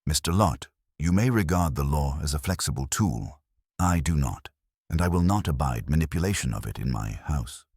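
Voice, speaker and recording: A man's voice: a bass voice, animated, normal speed, darkish tone, husky, no echo, clean studio sound, full character voice. A man voicing a stern, pompous master detective in an audiobook, formal, weighty and accusing. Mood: stern rebuke.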